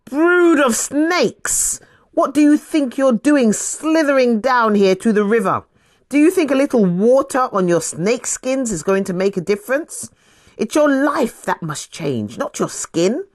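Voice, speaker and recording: A woman voicing a fiery, scolding male desert preacher in dramatic scripture storytelling, with forceful, urgent emphasis. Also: fairly high pitch, expressive, measured pace, no echo, studio quality, voice pushed up. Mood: scolding, indignant.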